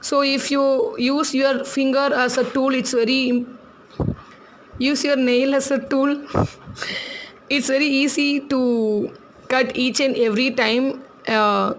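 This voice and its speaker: A woman's voice: sits high, little pitch movement, slight background noise. Chatty Tamil-accented woman teaching crafts. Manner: chatty, laughs often, repeats instructions slowly. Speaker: craft tutorial host.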